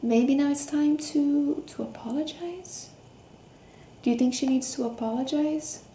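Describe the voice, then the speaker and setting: High-voiced woman reading slowly to children. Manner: expressive, with gasps, hums and hushed asides. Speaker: storyteller reading a picture book to children. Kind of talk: interactive children's read-aloud with questions to young listeners. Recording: roomy echo, faint background noise.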